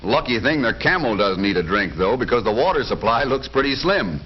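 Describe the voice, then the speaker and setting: Lively 1940s American male film narrator. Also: medium pitch, a little fast, husky. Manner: jaunty, folksy, wisecracking announcer delivery. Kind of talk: 1940s scripted documentary narration. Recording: dull band-limited vintage 1940s film soundtrack.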